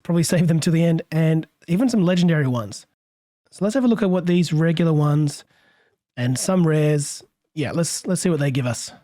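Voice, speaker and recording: A hoarse man, a little under the weather, casually musing aloud as he narrates his game session and chuckling often. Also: fairly high pitch, moderate pace, dark tone, no room sound, studio quality. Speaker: let's play host. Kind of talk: gameplay commentary.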